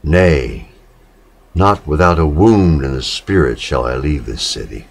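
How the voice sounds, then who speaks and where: A man giving a solemn literary reading, measured and dramatic, with pauses between short phrases. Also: very deep voice, animated, vocal fry, husky. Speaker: reader of poetic literature.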